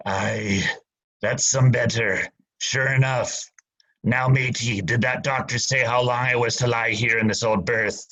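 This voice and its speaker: A man plays an old sea captain gone to drink, rough and fearful. He talks in salty sailor dialect at a middling pitch, swinging between pleading and bluster. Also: steady tone, takes it slowly, pushed and bright, full character voice, low-quality recording. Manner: gruff seafaring dialect, pleading. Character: old drunken male pirate captain, frightened. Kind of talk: student radio play acting.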